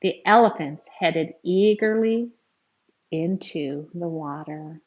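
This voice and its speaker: A woman reading a picture book aloud to young children in an expressive, teacherly way, speaking to them directly as she goes. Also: fairly low pitch, takes it slowly, dull audio. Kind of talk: read-aloud storytelling with asides to young listeners.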